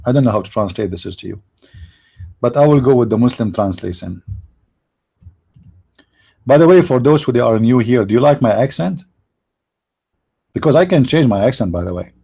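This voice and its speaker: A man's voice: mid-range voice, lively intonation, moderate pace, creaky, long pauses, dark tone, breathy edge. A middle-aged man, hosting a live stream and making sarcastic, joking commentary while talking to his chat. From Middle East. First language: Arabic.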